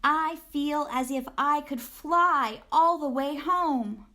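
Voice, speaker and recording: Young schoolgirl, voiced by a woman: storybook heroine, earnest, childlike, raised pitch, measured slow pace. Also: pushed and bright, heavily acted. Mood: elated. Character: young schoolgirl, eager, imaginative, easily hurt.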